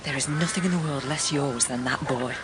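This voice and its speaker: An actress playing a sensible, compassionate housekeeper who narrates a radio drama in a low, grave, measured voice. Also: female voice, very noisy. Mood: firm, pleading.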